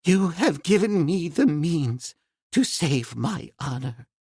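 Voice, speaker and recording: A man puts on a proud, fussy old professor with a husky voice that climbs and dips widely. He speaks slowly and formally, stiff with offended dignity. Storybook reading with a dry, close sound. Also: high voice, sing-song, fairly bright tone, no room sound, pitched above the performer's own voice, exaggerated voice. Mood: trembling gratitude. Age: older. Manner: formal, indignant, repeats "sir". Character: fussy elderly male professor, proud and flustered. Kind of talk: audiobook character voice.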